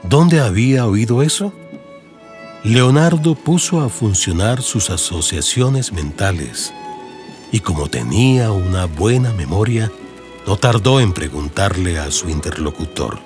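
A man's voice: fairly low pitch, expressive, measured pace. A man with a Colombian accent giving dramatic, scripted narration for an audiobook in radio soap style. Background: music.

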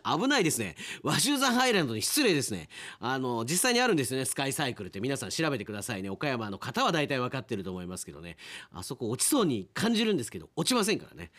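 Animated male radio host. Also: on the high side. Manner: chatty, commenting on the stories he reads. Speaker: radio announcer hosting an after-show talk. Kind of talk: casual podcast after-talk, reading listener mail.

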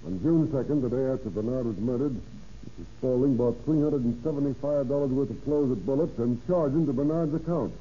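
An actor playing a dutiful subordinate police detective, terse and matter-of-fact, in old-time radio crime drama style. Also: male voice, medium pitch, brisk, dark tone, natural voice. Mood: businesslike. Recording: very muffled, noisy old radio recording.

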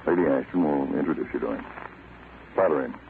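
A man playing a terse, authoritative counter-spy chief in a 1940s radio drama. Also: extremely low pitch. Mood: curt, secretive. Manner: terse, authoritative, deliberate instructions. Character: commanding male American counter-spy chief, brisk and secretive. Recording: tinny, noisy vintage radio recording.

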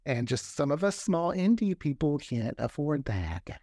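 A man's voice: on the high side, sing-song, a little slow, a little mellow, no room sound. A talkative man chatting casually as an interview guest, often repeating words for emphasis. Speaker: interview guest, fiction podcast creator.

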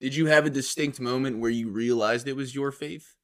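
A man's voice: mid-range voice, fairly even intonation, a little fast, a bit bright. A man with an American accent hosting a podcast and interviewing in a casual, chatty way.

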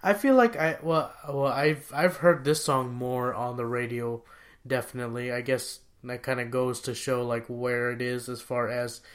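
A man's voice: medium pitch, steady tone, normal speed. Hesitant man co-hosting a casual podcast. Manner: hesitant, restarts often, searches for words. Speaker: podcast co-host. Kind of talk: casual chat counting down songs.

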